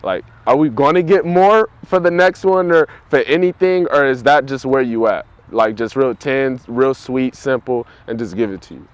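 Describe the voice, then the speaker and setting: A man hosting a casual interview, relaxed and slangy, prompting with short affirmations. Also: mid-range voice, moderate pace, few pauses, clean tone, no room sound. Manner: relaxed, slangy, encouraging backchannels. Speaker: interview host.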